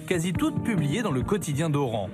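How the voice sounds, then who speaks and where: A man's voice: fairly high pitch, big pitch swings, brisk. A man narrating a scripted portrait, speaking directly to its subject. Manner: addresses the guest directly as "you". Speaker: documentary narrator. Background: loud music.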